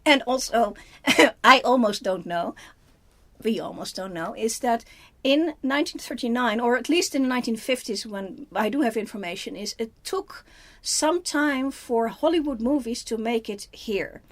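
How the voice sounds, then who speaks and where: Dutch lady cohosting a movie review, highish voice, lots of restarts. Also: expressive, normal speed. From Netherlands. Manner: thinks aloud with frequent restarts. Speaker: film review co-host. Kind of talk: casual chat.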